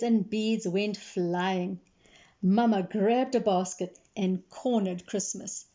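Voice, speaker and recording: A woman reading a children's story aloud in an expressive, animated way, acting out the dialogue. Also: medium pitch. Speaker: storybook reader, librarian. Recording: dull, lo-fi sound.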